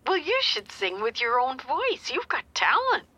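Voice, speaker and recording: An earnest older woman, an anxious mother on the phone, in a naturalistic audio drama. Her voice is bright and lively in pitch, and she comes across as slightly flustered. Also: normal speed, no room sound, exaggerated voice. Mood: chatty, admiring.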